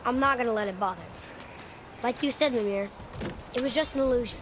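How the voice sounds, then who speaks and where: A man's voice: very high voice, fairly quick, background noise. A young male actor playing an earnest, headstrong, curious boy in a video game, plain-spoken and eager. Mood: defiant. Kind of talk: dramatic video game acting. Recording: loud music behind the voice.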